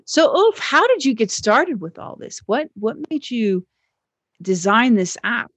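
A woman's voice: on the high side, big pitch swings, fairly bright tone, no echo. Effusive woman interviewer, dry close sound. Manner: effusive, quick to laugh along. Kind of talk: conversational interview.

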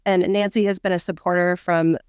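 A woman's voice: fairly low pitch, little pitch movement, fairly quick, darkish tone, clear, resonant tone, dry recording, studio quality. A woman co-hosting a podcast, chatting casually and upbeat, her voice a little off from a cold. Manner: upbeat, grateful, chatty with fillers. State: a bit sick, voice slightly off. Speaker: podcast co-host.

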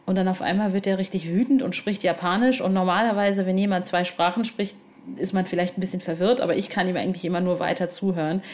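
A woman's voice: on the low side, fairly even intonation, quick pace, a little mellow. Enthusiastic, informal female podcast host. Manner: gushing, informal. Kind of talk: casual, enthusiastic podcast talk.

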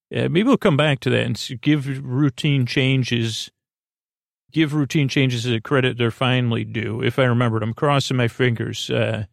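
Creaky guy doing a bedtime podcast, rambly and halting, dry close sound, kinda whimsical. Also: mid-range voice, no echo. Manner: meandering, halting, full of fillers and false starts. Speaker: podcast host. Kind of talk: rambling bedtime monologue to help listeners sleep.

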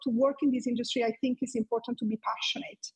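A woman's voice: a little high, fairly even intonation, very fast, breathy edge. A woman professor answering interview questions in a thoughtful way, with frequent fillers, who speaks natively. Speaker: guest, marketing professor.

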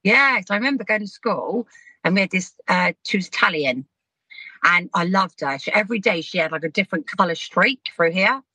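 A woman's voice: on the low side, lively intonation, a little fast, sharp tone, breathy edge, no room sound, poor audio. A woman, frankly telling stories about her youth in a casual podcast chat. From Southampton, England. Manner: chatty, frank, laughs while telling stories. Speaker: podcast guest, hairdresser.

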